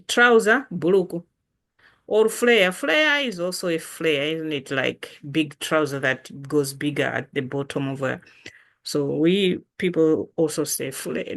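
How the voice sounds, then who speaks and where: A woman with a Malawian accent teaches vocabulary in an informal lesson. Her voice sits a little low and she takes her time, saying words again deliberately so learners can follow. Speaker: language teacher.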